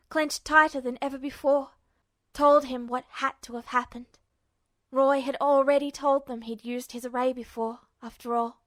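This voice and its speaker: Woman reading a story out loud, pretty high voice, expressive, really dry close sound. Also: no room sound. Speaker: audiobook narrator. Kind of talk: expressive scripted fiction narration.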